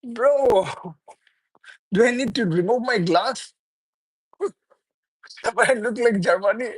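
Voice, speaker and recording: A man's voice: extremely high pitch, takes it slowly, breathy edge, dry recording, low-quality recording. A young man from Bangladesh hosting a casual late-night livestream, chuckling often as he reads viewer comments aloud and banters back. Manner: loose, repetitive, teasing banter. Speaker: livestream host. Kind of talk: casual live chat with viewers.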